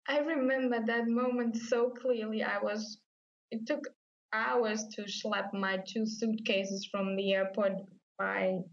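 A woman from Austria with an Austrian German accent, a native German speaker telling her story as an interview guest and acting out her own past thoughts. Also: medium pitch. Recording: slightly dull lo-fi sound.

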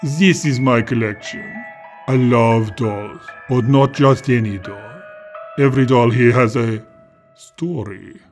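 A man's voice: medium pitch, slow pace, faint music behind, close to an everyday voice. A man voicing a creepy, glamorous woman who collects dolls, speaking with theatrical, sweetly menacing charm and calling people darling. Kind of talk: children's audio story acting.

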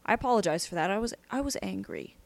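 A woman's voice: mid-range voice, expressive, fast talker, gravelly, dry recording. Woman as a breezy, sinister male villain. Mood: breezy, condescending. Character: genial, sinister male villain, master of assassins.

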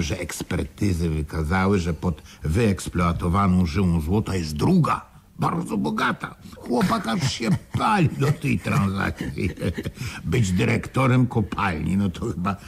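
A man's voice: sits low, animated, unhurried, creaky, husky, full character voice. An actor playing a sly, chuckling crooked businessman in a radio play. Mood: gleeful, sly. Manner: chuckling, sly, repeats words. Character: gleeful, scheming male swindler, partner in a crooked stock firm. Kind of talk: 1980s Polish radio play acting. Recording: noisy vintage radio drama recording.

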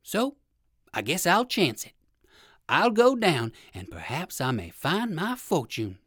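A woman voicing a polite, curious old gentleman rabbit in a children's storybook, with courteous old-fashioned manners. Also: on the low side, big pitch swings, slow, no echo, clean studio sound, exaggerated voice. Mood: resolved. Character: polite, eager elderly gentleman rabbit with rheumatism.

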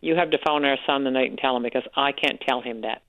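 A woman with a Newfoundland accent calling in to a radio show, loud-voiced and frank, talking on and on. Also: very deep voice, fast talker, no room sound. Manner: frank, talkative storyteller. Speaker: caller, support group organizer. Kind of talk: radio call-in chat. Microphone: telephone. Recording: tinny phone line.

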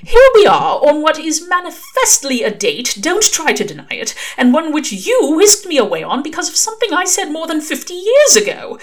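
A woman's voice: sits high, wildly swooping pitch, normal speed, few pauses, pitched above the performer's own voice. A woman voicing a fussy, earnest male angel who speaks in a prim, well-spoken, emphatic way. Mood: pleading. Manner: emphatic, fretful pleading.

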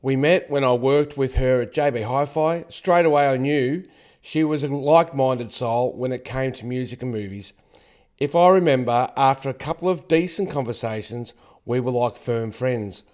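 A man hosting a podcast over a video call, talking loudly and casually. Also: medium pitch, moderate pace, echoey room. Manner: loud, self-described loudmouth. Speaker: podcast host. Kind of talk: casual interview chat.

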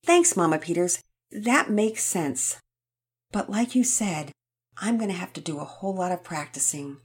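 A woman's voice: fairly low pitch, lively intonation, normal speed, slightly rough. A woman voicing an earnest, thoughtful young boy who stammers and restarts as he explains himself in a storybook. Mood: grateful.

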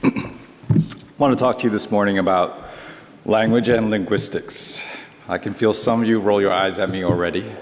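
A man's voice: fairly low pitch, fairly even intonation, normal speed, pauses often, a little hiss or hum. A man whose first language is Chinese giving a playful, teacherly talk to a congregation, often checking in with the listeners. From United States. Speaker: congregation speaker. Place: large church hall. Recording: long reverb of a hall.

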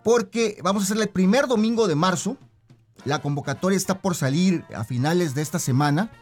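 High-voiced Mexican man, a radio director. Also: animated, fairly quick, a little mellow, faint music behind. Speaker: broadcasting director being interviewed. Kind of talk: conversational broadcast interview.

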